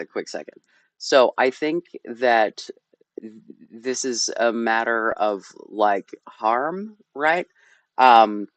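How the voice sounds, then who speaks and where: A man reacting to a video in casual chat, hesitant and halting with lots of fillers. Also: fairly low pitch, no echo. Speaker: guest reactor.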